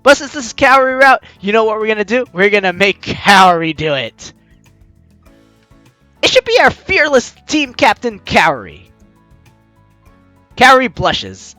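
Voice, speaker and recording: An animated let's player hosts a game playthrough in a mid-pitched voice that swings freely. The delivery is jokey and teasing, moving between reading narration and commenting. The sound is dry, with clear music underneath. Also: unhurried, long pauses, dry recording. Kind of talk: let's play commentary and read-aloud narration.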